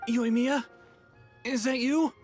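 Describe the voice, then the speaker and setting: A man's voice: extremely high pitch, steady tone, slow, a little mellow, faint music behind. A man voicing an anxious, polite young man in hiding in a video game, hesitant, apologetic and earnest. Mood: calling out. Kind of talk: English video game voice acting.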